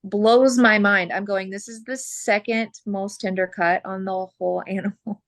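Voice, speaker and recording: A woman from Oklahoma, a butcher, talking frankly as a podcast guest while losing her voice and clearing her throat. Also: medium pitch, fairly even intonation, moderate pace, no echo. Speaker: butcher and mobile processor owner.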